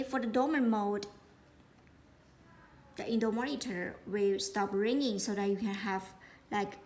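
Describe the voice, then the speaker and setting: A woman giving an unscripted product demonstration, speaking haltingly and often repeating words as she explains. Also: medium pitch, a little mellow, a little hiss or hum. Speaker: product presenter.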